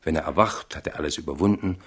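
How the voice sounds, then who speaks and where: An actor playing a loyal, philosophical royal attendant in a radio drama, measured and gently reproving with a pompous touch. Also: male voice, rumbling low, husky, full character voice. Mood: wry reproach. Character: loyal male attendant, admonishing, philosophical, wry. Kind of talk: German radio drama acting.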